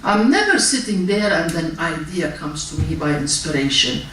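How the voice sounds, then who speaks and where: An older woman from Palestine, speaking as a writer on a festival panel, chatty and self-mocking with halting restarts. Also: low-pitched, sing-song, a bit bright. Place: public library event hall. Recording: echoey hall, distant mic, faint noise.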